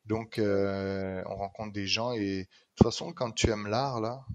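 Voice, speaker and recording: Low-voiced man from Réunion. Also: unhurried, muffled recording. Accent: Réunionese French with some Québécois color. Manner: lively, emphatic, repeats words for stress. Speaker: guest. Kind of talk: casual conversation.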